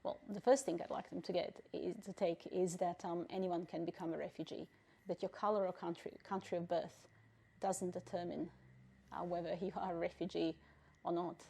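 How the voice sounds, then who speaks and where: A middle-aged woman from Bosnia, a refugee council president, answering interview questions in a measured, thoughtful way with frequent pauses and fillers. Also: on the low side, level tone, creaky, mellow, slight background noise.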